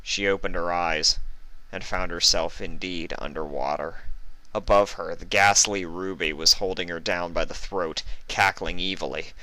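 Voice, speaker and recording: A man's voice: sits low, steady tone, normal speed, pushed and bright, no echo, rough recording. A man reading a story aloud as its narrator. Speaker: fan fiction reader and narrator.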